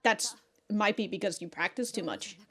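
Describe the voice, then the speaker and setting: A woman hosting a reaction show, commenting casually in an animated, exclaiming way. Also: medium pitch, normal speed, vocal fry. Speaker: host of an anime reaction show.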